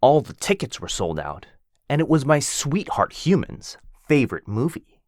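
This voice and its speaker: A man's voice: mid-range voice, very animated, normal speed, darkish tone, no room sound, clean studio sound, close to an everyday voice. Otto, a man voicing a cheesy flirty werewolf. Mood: playful.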